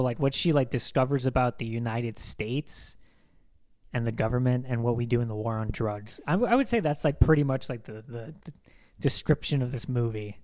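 An enthusiastic young man hosting a casual film podcast, rambling as he goes and peppering every thought with filler words. His voice sits at an ordinary middle pitch for a man. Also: darkish tone. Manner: enthusiastic, rambling, heavy use of "like". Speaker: podcast co-host.

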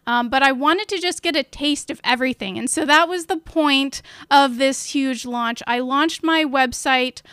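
A woman hosting her own podcast on a live stream, chatty and upbeat, with a loud voice and lots of fillers, talking into a studio mic at home. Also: sits high, moderate pace, hardly any pauses, no echo. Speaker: podcast host. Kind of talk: casual live-stream chat. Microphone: studio mic with portable recorder.

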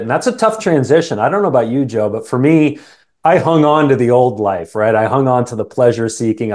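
A man's voice: lowish voice, darkish tone. Middle-aged Canadian man, earnest and conversational. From Canada, born in England. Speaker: interview guest, sales coach. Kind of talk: casual interview conversation.

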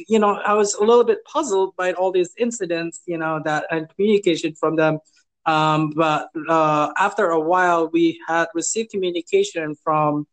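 A man's voice: fairly high pitch, rough recording. A man working in property management, telling stories in a casual interview, hesitant with many fillers and restarts, chuckling now and then. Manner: amused, chuckles while telling.

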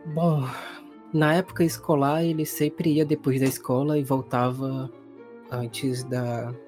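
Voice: medium pitch, little pitch movement, a little slow, darkish tone, faint music behind, natural voice. A game master voicing a hesitant, cooperative mother in a tabletop mystery game. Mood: plain, reflective.

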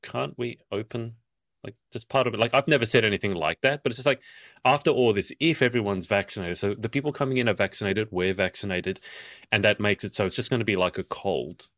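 A man's voice: fairly low pitch, brisk, a bit bright, no room sound, clean studio sound. A man co-hosting a podcast, musing aloud in casual chat and hedging with "like". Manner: musing, hedges with "like". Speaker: podcast co-host.

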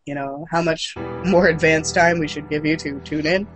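A woman co-hosting a podcast in playful, slangy chat, her voice deepened from being sick. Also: steady tone, normal speed, music in the background, poor audio. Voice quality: deep for a woman. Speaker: podcast co-host. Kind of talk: casual chat.

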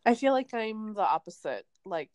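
A woman's voice: mid-range voice, very animated, normal speed, a little mellow, no echo. A young Canadian woman co-hosting a podcast, chatting casually and rambling with lots of fillers. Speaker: podcast co-host. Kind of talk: casual chat. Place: remote, away from co-host.

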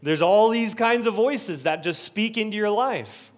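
Male pastor, higher-pitched, preaching with earnest building repetition. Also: lively intonation, a little slow. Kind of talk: sermon. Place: church sanctuary.

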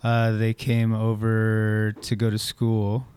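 A man's voice: on the low side, completely flat delivery, slow pace, a little mellow, smooth, no echo. A man from Southern California, a guest musician giving loose, laid-back answers full of fillers in a casual interview, recorded indoors at a theater venue.

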